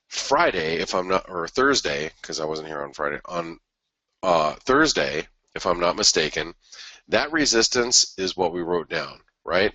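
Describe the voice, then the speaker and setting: A man with a stuffy head cold and a raspy voice, hosting a trading webinar in a rambling, casual way with many restarts. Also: sits low, pushed and bright, dry recording, muffled recording. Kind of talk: casual market analysis talk.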